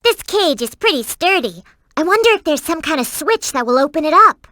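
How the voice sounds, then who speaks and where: High, perky girl game sidekick. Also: big pitch swings, brisk, bright, forceful tone, dry recording, clean studio sound, full character voice. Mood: helpful, curious. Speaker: in-game hint-giving companion. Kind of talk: video game voice acting.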